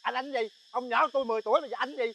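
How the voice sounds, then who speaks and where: A man's voice: very high voice, very fast, creaky, slight background noise, exaggerated voice. A man playing a fawning bandit sidekick who nags and complains at his boss. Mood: grumbling. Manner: flattering, then whiny nagging complaints. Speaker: comedy sketch actor. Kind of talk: comic sketch acting.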